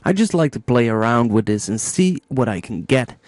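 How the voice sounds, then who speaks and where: A man hosting a casual step-by-step music software tutorial, thinking aloud informally as he demonstrates. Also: mid-range voice, animated, normal speed, no echo. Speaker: music production tutorial host.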